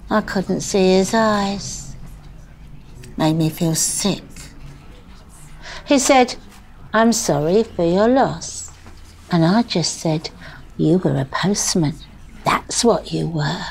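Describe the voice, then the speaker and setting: Slow-spoken older widowed mother. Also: medium pitch, a little hiss or hum, rough recording. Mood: bitter, wry. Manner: rambling, plaintive, deadpan wit. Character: grieving older widowed mother, wry, pleading and stubborn.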